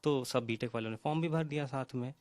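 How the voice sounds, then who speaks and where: A man's voice: mid-range voice, quick pace, a little mellow, no echo. Young man, close dry sound. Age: young adult. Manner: mixes in many English words. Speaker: job candidate interviewed by news. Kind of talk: spontaneous interview, explaining a grievance.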